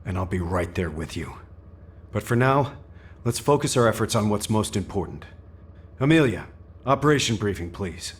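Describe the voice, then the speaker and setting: A man playing a calm, supportive military commander in a sci-fi video game, speaking with authority. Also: fairly low pitch, normal speed, slightly rough. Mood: calm resolve.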